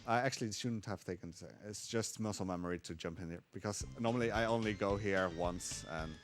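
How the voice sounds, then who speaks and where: A man calmly explaining tricks while playing a game live, with frequent fillers. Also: lowish voice, fairly quick, music in the background. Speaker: speedrunner. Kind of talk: live speedrun commentary.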